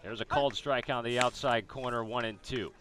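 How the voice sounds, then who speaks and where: A man with an American accent calling a baseball game as a radio play-by-play announcer, describing each pitch and the setting. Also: fairly quick, fairly bright tone. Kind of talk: live sports commentary, descriptive radio play-by-play.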